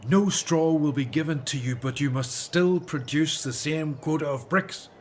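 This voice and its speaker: An actor playing an earnest, resolute Hebrew leader in a religious radio drama. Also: male voice, on the high side, moderate pace, a little hiss or hum, natural voice. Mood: grave, troubled.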